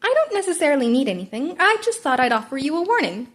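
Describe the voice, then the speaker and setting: A woman's voice: sits high, very animated, fast talker, a bit bright, voice pushed up. A woman voicing a gleefully teasing male talking-animal principal in a polite, airy, coy manner for a story reading.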